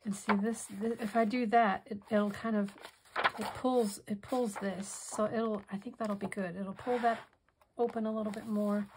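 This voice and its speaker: A hoarse woman demonstrating a craft project in casual tutorial style, softly thinking aloud and drifting into whispered asides. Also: medium pitch, a little hiss or hum, rough recording. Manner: soft-spoken, musing, drifts into whispered asides.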